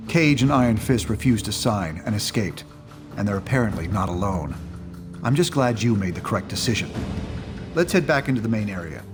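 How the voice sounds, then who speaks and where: A man playing a calm, courteous, reasonable leader explaining things in a video game. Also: fairly low pitch, fairly quick. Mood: measured, regretful. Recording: game music behind voice.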